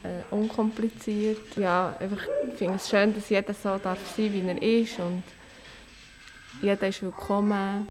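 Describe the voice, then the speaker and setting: A woman giving relaxed, plain answers in an interview. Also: mid-range voice, unhurried, noisy background.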